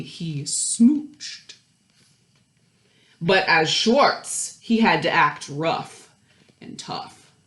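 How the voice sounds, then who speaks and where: A woman reading a picture book aloud to young children, expressive, with questions and asides to her listeners. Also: lowish voice. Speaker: children's storytime host and story reader. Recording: roomy, echoey indoor sound.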